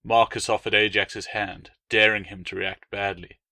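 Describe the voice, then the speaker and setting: A man's voice: on the low side, flat delivery, moderate pace, sharp tone, dry recording. A man reading scripted audiobook narration as a storyteller. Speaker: author reading own novel as narrator.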